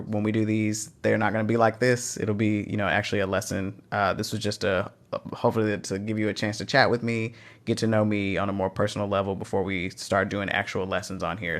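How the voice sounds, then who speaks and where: A man with a Texan accent hosting a live phone stream, reading out chat questions and encouraging language learners. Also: fairly low pitch. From Texas. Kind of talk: casual livestream Q&A.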